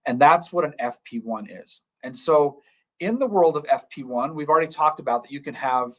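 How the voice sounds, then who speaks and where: A man's voice: on the high side, lively intonation, fairly quick. Casting: male dentist host, plain-spoken live-stream explainer who repeats terms. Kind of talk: casual explanatory chat.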